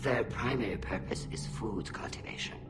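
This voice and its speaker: A man's voice: on the low side, normal speed, over music, lightly acted. Man playing a firm, resolute alien fleet admiral. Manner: firm, formal, military. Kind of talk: video game voice acting.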